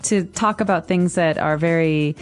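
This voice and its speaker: A woman's voice: lowish voice, moderate pace. A woman from Chicago co-hosting a podcast lesson, explaining English expressions emphatically. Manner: clear, emphatic teacher. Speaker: podcast co-host.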